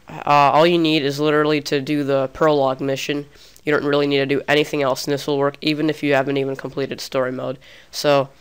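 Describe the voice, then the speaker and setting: A man's voice: fairly high pitch, clean tone. A young man talking through a gaming tutorial in a casual, chatty way, a little under the weather. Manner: casual, chatty, restarts often. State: sick, slightly off voice. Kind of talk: screen-recorded tutorial.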